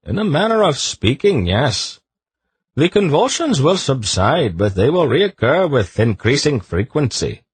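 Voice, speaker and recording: A high, husky male eunuch, put on by a man reading an audiobook, laying out grim facts in a cool, matter-of-fact way. The voice sits well above the reader's own and stays raspy. The recording is dry and close. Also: big pitch swings, unhurried, no room sound, full character voice. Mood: grave, calm. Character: calm, precise male eunuch expert in poisons.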